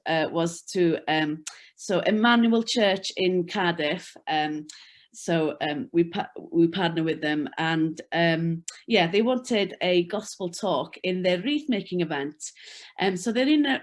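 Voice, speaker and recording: A woman from North Wales with a Welsh accent, Welsh her first language, talking about her church work on a video call with many hesitations. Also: fairly low pitch. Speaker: church worker, webinar guest.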